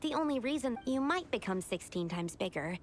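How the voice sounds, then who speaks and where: A woman voicing an analytical, hesitant young female character in a video game, speaking in a thoughtful, halting way. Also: a little high, expressive, slight background noise, natural voice. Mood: doubtful, pondering. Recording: game music underneath.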